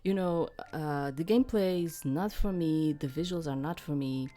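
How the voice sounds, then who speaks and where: Middle-aged female gaming host, low-pitched voice, unhurried rambling chat with hesitant asides, faint music underneath. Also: mellow. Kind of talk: casual rambling chat to viewers.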